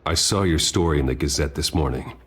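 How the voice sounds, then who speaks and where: A grave young billionaire in a story game, his very deep voice husky and even. He speaks in calm, measured, serious tones. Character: grave wealthy young man, secret vigilante. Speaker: game character.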